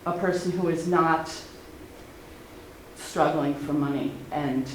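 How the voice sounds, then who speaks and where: A middle-aged woman, speaking earnestly and haltingly at a public meeting. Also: low-pitched, fairly even intonation. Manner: earnest, halting, many ums. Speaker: public commenter. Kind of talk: unscripted public comment. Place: community meeting hall. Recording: very echoey hall, faint noise.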